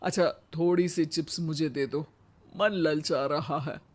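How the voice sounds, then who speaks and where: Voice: medium pitch, fairly even intonation, unhurried, pitched below the performer's own voice, close to an everyday voice. कलाकार की आवाज़ में धीमे बोलने वाले मज़ाकिया बूढ़े ससुर. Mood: teasing. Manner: dry, mocking, needling. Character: teasing, wisecracking old father-in-law. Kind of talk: comic moral story dramatization.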